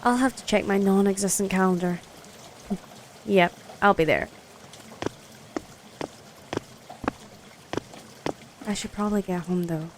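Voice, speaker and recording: A woman's voice: mid-range voice, normal speed, noisy background, lightly acted. A young woman playing a wary, dryly sarcastic college student in an audio drama. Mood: dry, casual. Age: young adult. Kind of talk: audio drama acting with self-talk.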